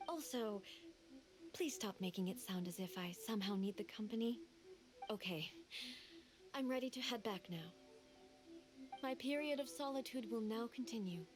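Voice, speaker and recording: A woman voicing a composed, regal female deity in a game, measured and formal. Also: on the high side, natural voice. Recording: game audio with music behind.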